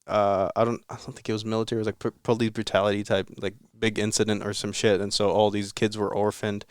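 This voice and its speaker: A man with a fairly low, smooth voice talks through a story on a casual podcast, loose and rambling, with the odd swear word. The sound is dry and close, with no room around it. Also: flat delivery, fast, no room sound. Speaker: podcast host.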